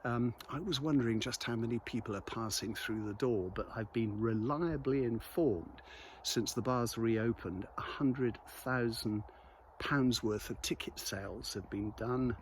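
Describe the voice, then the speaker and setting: An older man talks casually and reflectively in a halting monologue, as a local vlogger out on a walk. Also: mid-range voice, slight background noise. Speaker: local video blogger and commentator. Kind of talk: casual spoken monologue to an audience while out walking.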